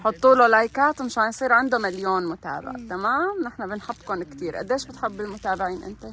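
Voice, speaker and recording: An older woman with a bright, ringing tone and a somewhat high voice, talking with her grandchildren for a home video. She coaxes and teases them gently, keeping the chat light and playful. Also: expressive, quick pace. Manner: warm teasing, coaxing children, often laughing. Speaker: grandmother joining a family vlog. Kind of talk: casual family chat with grandchildren.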